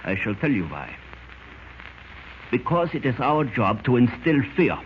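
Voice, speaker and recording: An actor playing a cold, fanatical German spymaster in a 1940s radio drama, with a German accent and a formal, deliberate, menacing manner. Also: male voice, mid-range voice, very animated. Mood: cold, intent. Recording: scratchy, muffled old-time radio recording.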